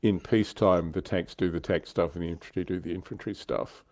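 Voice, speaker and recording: A man's voice: sits low, fairly quick, a little mellow, no echo, clean studio sound. A man co-hosting a casual livestream about tabletop wargaming, explaining things in a conversational way. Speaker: livestream co-host.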